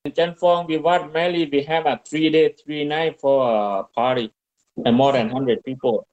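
Thai man in halting, broken English. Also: on the high side, steady tone, a little mellow, muffled recording. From Thailand. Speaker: guest, restaurant owner. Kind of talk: interview answers.

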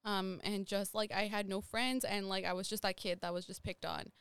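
Young woman guest, Nepal-born, loose rambling chat, smooth clear tone, dry close sound. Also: mid-range voice, steady tone, normal speed, fairly bright tone, no echo. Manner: rambling, many "like" fillers. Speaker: interview guest. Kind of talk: casual conversational interview.

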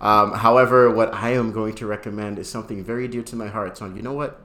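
A man's voice: on the low side, fairly even intonation, fast talker, bright, forceful tone. Laughing man hosting a casual podcast. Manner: laughs often, playful. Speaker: podcast host. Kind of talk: casual chat.

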